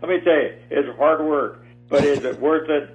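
A man's voice: fairly high pitch, moderate pace, faint music behind. An 89-year-old man, a guest calling in, chatting in a rambling way and often repeating words. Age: 80s. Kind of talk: casual phone interview. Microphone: telephone. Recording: tinny phone line.

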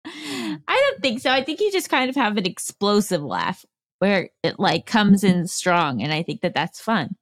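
A woman's voice: medium pitch, big pitch swings, measured pace. A woman, co-hosting a podcast with playful chat and frequent nervous laughter. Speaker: podcast co-host. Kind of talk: casual chat.

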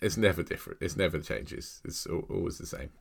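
A man's voice: sits low, fairly quick, gravelly, breathy edge. A man in his thirties with a cold, chatting casually and rambling as a podcast co-host. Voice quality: chatty, laughs often, rambling. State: has a cold, snuffly. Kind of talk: casual film podcast chat.

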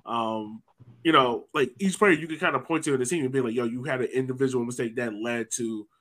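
A man's voice: medium pitch, a little fast, a bit bright. A man talking casually and colloquially as a sports podcast host, sometimes voicing imagined reactions.

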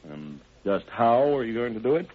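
An actor playing a watchful police investigator who asks dry, probing, plainspoken questions in an old radio crime drama. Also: male voice, medium pitch, big pitch swings, moderate pace, narrow, tinny audio. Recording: band-limited vintage radio recording.

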